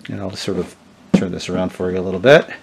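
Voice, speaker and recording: A middle-aged man. He talks casually and without a script, showing an item piece by piece. His delivery is halting, as if working out each point aloud. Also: low-pitched, fairly even intonation, gravelly, heavy background noise. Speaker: presenter of a vintage electronics channel. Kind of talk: casual unscripted product demonstration. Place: indoors, small tabletop product-shooting setup.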